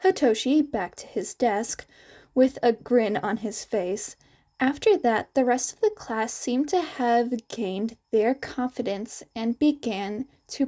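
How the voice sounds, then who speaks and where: Female podfic narrator: higher voice, lively intonation, unhurried scripted reading.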